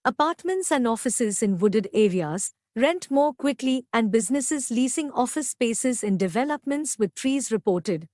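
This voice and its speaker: A woman reading scripted academic passages aloud in a measured, careful way, as a model for exam practice. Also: a little high, expressive, darkish tone, dry recording, clean studio sound.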